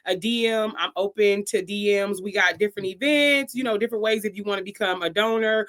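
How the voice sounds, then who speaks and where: Bright, emphatic woman in her thirties. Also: mid-range voice, brisk. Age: 30s. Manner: lively and emphatic, repeats phrases for emphasis. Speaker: podcast guest. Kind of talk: casual interview chat.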